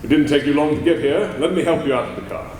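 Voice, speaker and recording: A man's voice: on the high side, quick pace, a little mellow, far from the mic, natural voice. An actor playing an earnest, determined male crime-fighting hero in 1940s radio acting style, on an echoey old radio recording with hiss.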